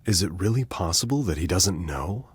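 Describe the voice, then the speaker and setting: A man acting a courteous, thoughtful gentleman adventurer in a video game, speaking in formal, measured sentences. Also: deep voice, expressive, husky, no echo, exaggerated voice. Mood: puzzled, concerned.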